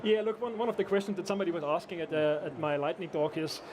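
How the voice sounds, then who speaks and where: Guy from austria with a high voice, lots of restarts, noisy event floor behind. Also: fast talker. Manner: hesitant, many restarts and fillers. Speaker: guest engineer. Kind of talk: casual conversational interview. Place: conference event.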